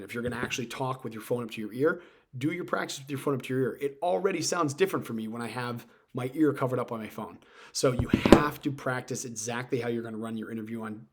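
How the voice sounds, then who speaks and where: Male career coach host, medium pitch, informal teaching delivery, emphatic with repeated key points. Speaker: career advice video host. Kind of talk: informal instructional talk to camera.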